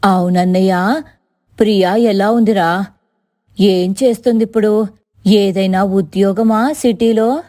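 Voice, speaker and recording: A woman voicing a weary, pleading middle-aged village mother-in-law in an animated story, coaxing and complaining in drawn-out phrases. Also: medium pitch, voice pushed down, lightly acted. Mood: affectionate.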